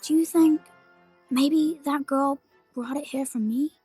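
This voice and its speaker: A woman's voice: sits high, takes it slowly, dark tone, dry recording, soft background music. A woman voicing an innocent, polite young boy hero with an American accent in a fantasy game. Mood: amazed. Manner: naive, questioning, earnest. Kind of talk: animated fantasy game voice acting.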